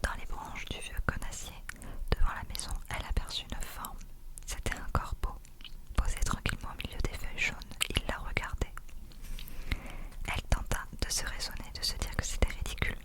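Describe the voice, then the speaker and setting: Whispering woman reading a story. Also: moderate pace. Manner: soft unvoiced whispering throughout. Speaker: ASMR reader.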